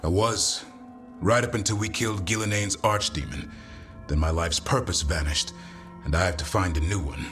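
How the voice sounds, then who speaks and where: An actor playing an earnest, measured warrior who looks after griffins in a video game. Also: male voice, deep voice, over music, natural voice. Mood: reflective, troubled. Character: grizzled, earnest adult male griffin-keeping warrior. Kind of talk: video game dialogue acting.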